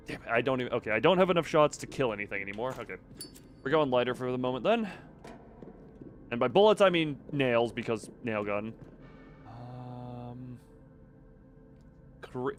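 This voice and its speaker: A man's voice: medium pitch, animated, normal speed, pauses often, soft background music. A man giving casual live gameplay commentary, rambling, self-deprecating and thinking aloud. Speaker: video game streamer. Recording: faint background noise and game ambience.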